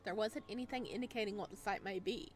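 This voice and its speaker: A woman's voice: mid-range voice, fast talker, faint music behind. A woman doing a visual novel let's play, reading text aloud and breaking off for chatty, casual asides. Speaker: gaming video host.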